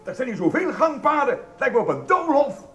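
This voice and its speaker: A man's voice: very high-pitched, very animated, a little fast, bright, forceful tone, echoey room, full character voice. An actor playing a dim, flustered male supermarket assistant in a radio comedy, whiny, hesitant and put-upon. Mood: defensive, flustered. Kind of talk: radio comedy drama acting.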